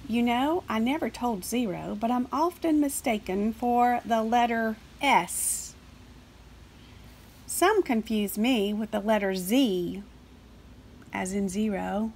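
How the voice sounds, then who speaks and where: A woman voicing chatty, sentimental cartoon counting numbers from a picture book, earnest and easily excited. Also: a little high, takes it slowly, slight background noise. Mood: confiding.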